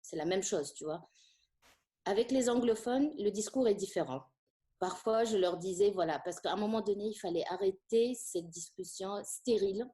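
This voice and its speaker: A middle-aged woman from Tunisia whose first language is Arabic, a French teacher speaking thoughtfully in a conversational interview, pausing often with fillers. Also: mid-range voice, dark tone. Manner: thoughtful, hesitant with frequent fillers.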